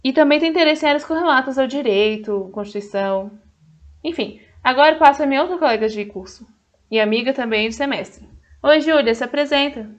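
Young woman hosting a podcast, chatty and friendly, really high voice. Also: brisk. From Brasília, Brazil. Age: young adult. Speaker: podcast host. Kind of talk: casual podcast introduction.

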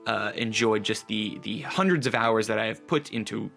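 A man's voice: fairly low pitch, moderate pace, vocal fry, fairly bright tone, faint music behind. A man speaking as the narrator and presenter of a radio drama. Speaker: writer, producer and narrator.

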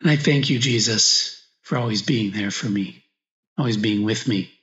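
A man, a priest guiding a meditation, speaks slowly and reflectively in short phrases with pauses, as in spoken prayer. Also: lowish voice, fairly bright tone. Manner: slow, reflective, pausing between short phrases. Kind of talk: guided meditation, spoken prayer with gospel reading. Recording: echoey room, slightly dull sound.

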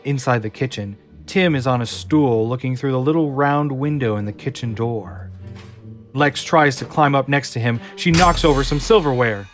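A man reading scripted narration for an audio drama in a measured, often slow and hushed way. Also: mid-range voice. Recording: clear music underneath.

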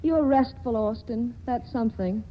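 A woman's voice: a little high, normal speed, lightly acted. An actress playing a restless, wry and lovelorn young woman in a 1940s radio drama, with a theatrical, weary manner. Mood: wry, detached. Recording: dull, noisy 1940s radio broadcast recording.

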